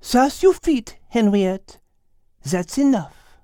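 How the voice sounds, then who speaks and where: A man's voice: high-pitched, slow, no echo, voice pushed up. A man plays an elegant storybook lady cat. The voice is raised, composed and certain, in a dry recording. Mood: calm, firm. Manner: calm, certain, matter-of-fact. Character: calm, elegant petite female French cat.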